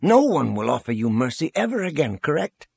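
A man's voice: medium pitch, big pitch swings, brisk, creaky, darkish tone, husky, dry recording, voice pushed up, exaggerated voice. A man voicing a stern, earnest general in an audiobook, blunt and pressing in his indignation. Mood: stern, pleading.

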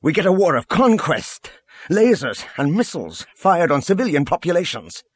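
Man acting a husky, intense military general. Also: mid-range voice, very animated, normal speed, no echo, voice pushed up, heavily acted. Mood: angry. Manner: intense, pressing, blunt. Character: earnest, stern male military general, blunt and morally indignant.

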